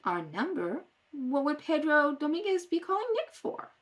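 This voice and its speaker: A woman's voice: sits high, big pitch swings, moderate pace, distant mic. A woman playing a wry, curious society wife in an old radio mystery. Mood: curious, playful. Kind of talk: old radio mystery acting, rehearsal read-through.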